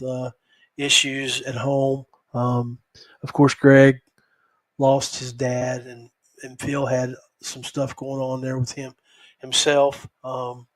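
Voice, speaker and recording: An American man hosting a podcast solo, rambling casually in a halting, folksy way. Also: medium pitch. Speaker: podcast host.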